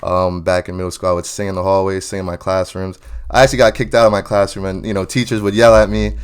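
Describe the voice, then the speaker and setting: A young man, a singer, chatting casually in an interview, relaxed and full of "you know" fillers, laughing often. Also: low-pitched, fast talker, clear, resonant tone. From New Jersey or New York area. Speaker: guest singer.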